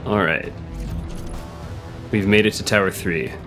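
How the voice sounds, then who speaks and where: A man with a cold, hoarse and a little sniffly, chatting casually while playing a video game, thinking aloud and reading on-screen text. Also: low-pitched, unhurried. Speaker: let's play host. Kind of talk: casual gameplay commentary. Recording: game music playing behind the voice.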